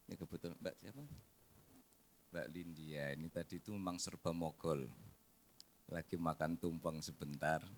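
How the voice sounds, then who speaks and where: A man's voice: very deep voice, a little hiss or hum. A man preacher opening his talk with an Arabic greeting, then chatting easily with the crowd. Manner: greets loudly, then drops to relaxed chatty asides.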